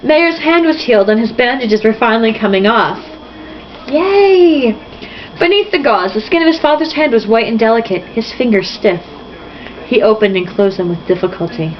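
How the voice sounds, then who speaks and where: A woman's voice: on the high side, animated, moderate pace. A woman reading a novel aloud in a casual, theatrical way, dropping in sarcastic, mocking asides. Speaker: host reading a novel aloud with jokey commentary. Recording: dull lo-fi sound, faint noise.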